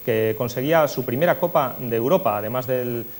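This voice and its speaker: A man's voice: mid-range voice, a little fast, darkish tone. A man with an accent from Spain presents a football retrospective as host, talking conversationally through results and match action. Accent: Spanish, from Spain. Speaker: host of a football history show. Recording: noisy recording with electrical hum.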